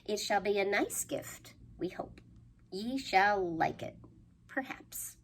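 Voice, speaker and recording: Woman voicing storybook villagers, fed up, declamatory yet unsure, measured pace, faint background noise. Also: mid-range voice, expressive. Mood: proclaiming, unsure. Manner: declaiming, then hesitant hedging.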